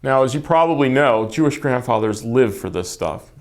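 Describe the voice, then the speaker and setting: A man's voice: medium pitch, expressive, normal speed. A middle-aged man telling wedding stories in a dry, matter-of-fact way. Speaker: interviewee. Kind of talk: casual personal storytelling.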